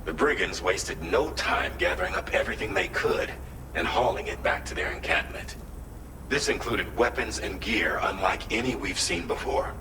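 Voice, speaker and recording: This is a formal, earnest mission dispatcher in a video game. His voice is fairly low and measured. It sounds distant from the mic, with clear noise behind it. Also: animated. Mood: grave, urgent. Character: grave, formal male mission dispatcher briefing a courier. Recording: echoey, distant, noisy game audio.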